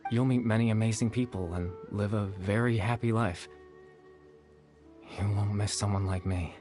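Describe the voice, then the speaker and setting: An actor playing a calm, mysterious man in a video game, speaking softly and courteously. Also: on the low side, close to an everyday voice. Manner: soft, measured, courteous. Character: calm, gentle, mysterious man, soft-spoken. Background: game music.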